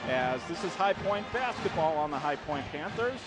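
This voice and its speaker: A man's voice: fairly high pitch, very animated, moderate pace, over music. An American man calling live basketball play-by-play for radio in punchy, urgent phrases from courtside. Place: courtside in an indoor arena. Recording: loud gym noise behind. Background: arena ambience, bouncing basketballs.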